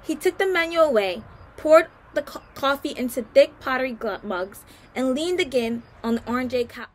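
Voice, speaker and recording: A woman's voice: sits high, expressive, moderate pace. A woman reading a story aloud as a guest narrator, careful, with occasional stumbles and self-corrections.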